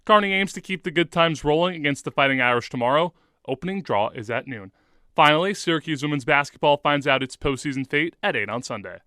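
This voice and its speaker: A man's voice: a little high, expressive, quick pace, bright, forceful tone, no room sound, studio quality. A man reading a scripted sports radio report as the reporter.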